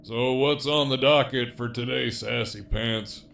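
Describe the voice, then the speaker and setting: A man's voice: mid-range voice, fairly even intonation, measured pace, vocal fry, fairly bright tone, slight background noise. A man playing a sardonic, foul-mouthed jailed villain in an audio drama, with a wry, sarcastic drawl. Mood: mocking.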